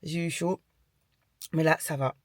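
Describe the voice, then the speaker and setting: A young woman chatting casually in a vlog, with a stammer that makes her repeat and restart words in a halting way. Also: sits low, fairly even intonation, dry recording. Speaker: lifestyle vlogger, mother of young children. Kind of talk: casual chat to camera.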